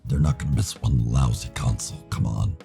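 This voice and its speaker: Wolf bully character, very deep growl, dark tone, quick-tempered, defiant, music underneath. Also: male voice, creaky. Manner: blunt, grumbling, defiant. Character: gruff, surly anthropomorphic wolf guy, young, quick-tempered bully. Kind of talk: visual novel character voicing.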